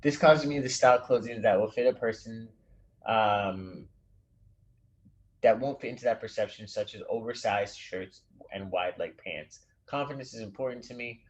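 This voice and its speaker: A man teaching a design class in a recorded lecture, explaining an assignment in a relaxed way and reading an example aloud, with small restarts. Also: fairly low pitch, moderate pace, highs cut off. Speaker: instructor.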